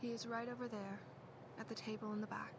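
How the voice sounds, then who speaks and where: A woman playing an eerie, soft-spoken caretaker. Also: on the high side, little pitch movement, fast talker, noisy background, natural voice. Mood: hushed. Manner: formal, polite, drops to a hush. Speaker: care home receptionist.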